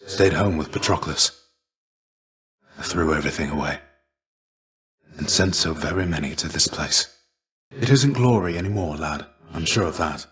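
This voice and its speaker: A fallen hero's shade from a video game, with a deep voice. He is a fatherly, weary mentor who speaks formally and gently. His phrasing is measured and archaic, like an old soldier of legend. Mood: sorrowful, regretful. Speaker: game character voice actor. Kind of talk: scripted video game dialogue.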